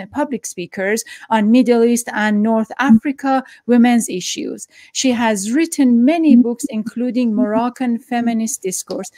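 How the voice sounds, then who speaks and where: Brief: female interview host, middle-aged, bright-pitched, dry studio-close mic, hesitant delivery. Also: no echo. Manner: halting, many fillers and restarts. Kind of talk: hosted video interview. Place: home study with bookshelves. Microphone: headphones and desk mic.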